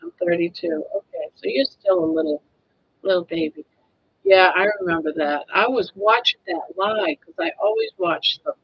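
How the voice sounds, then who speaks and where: A woman's voice: on the low side, expressive, normal speed, slightly rough, no room sound, lo-fi sound. A woman in her sixties hosting a casual live stream, chatting in a rambling way and restarting her sentences. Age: 60s.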